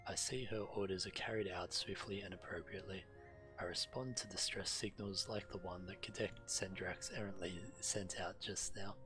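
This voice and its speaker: Deep-voiced man reading quest dialogue. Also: bright, forceful tone, low-quality recording. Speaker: game streamer.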